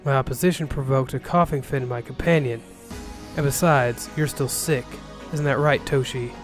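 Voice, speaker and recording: A man narrates a first-person ronin tale with dry sarcasm. His voice is mid-pitched, and music plays behind the reading. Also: steady tone, normal speed. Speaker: narrator reading an interactive novel. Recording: music bed under the voice.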